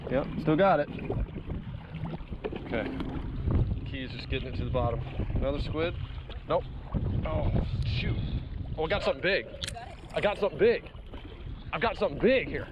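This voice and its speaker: A man in his thirties giving casual, excitable running commentary as he fishes outdoors, often exclaiming and repeating his phrases. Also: fairly high pitch, moderate pace, pauses often. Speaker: vlogger fishing from a jet ski. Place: outdoors on a jet ski at sea. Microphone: action camera worn or held close. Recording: dull action-camera audio, loud wind and water.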